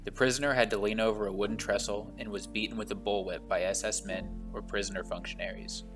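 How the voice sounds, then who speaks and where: A man reading a measured, solemn voice-over narration for a travel vlog walking tour. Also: lowish voice, fairly even intonation, over music.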